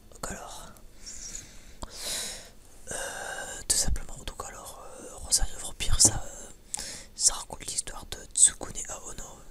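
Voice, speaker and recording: A man's voice: slow pace, long pauses, pushed and bright. Male, whispered voice, rambling ASMR style, stammering, informal with swearing. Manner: hesitant, stammering, repeats words. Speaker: ASMR video creator.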